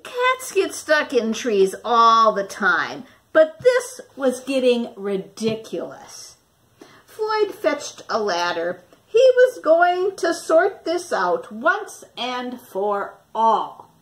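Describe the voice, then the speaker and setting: A woman with a high voice reads a picture book aloud to children. Her pitch swings in a lively way as she leaves dramatic pauses and puts questions to her young listeners.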